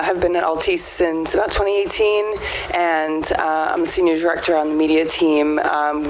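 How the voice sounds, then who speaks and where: A woman with a slightly hoarse voice speaking casually and a bit hesitantly as a business panelist. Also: low voice, low-quality recording. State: a little hoarse. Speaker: media director panelist. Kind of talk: casual panel self-introduction. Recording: live event with background noise.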